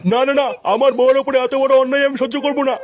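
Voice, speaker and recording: A man's voice: very high-pitched, flat delivery, very fast, over music. A man voicing a furious, foul-mouthed husband out for revenge in a comedy cartoon, ranting and threatening crudely. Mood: outraged, ranting. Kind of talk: Bengali comedy cartoon dubbing.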